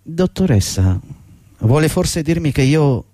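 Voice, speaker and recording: A nervous, deferential company employee in a theatrical radio play. His mellow voice swings widely as he pleads and rambles. The sound is close and dry, and his delivery is halting and eager to please. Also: on the high side, big pitch swings, no room sound. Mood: insistent, pleading. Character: anxious, fawning, long-serving male office employee facing dismissal. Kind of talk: theatrical radio drama dialogue.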